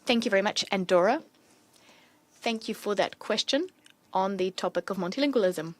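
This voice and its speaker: A woman giving simultaneous interpretation of formal diplomatic speeches, delivering them steadily in quick bursts between pauses. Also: medium pitch, no room sound.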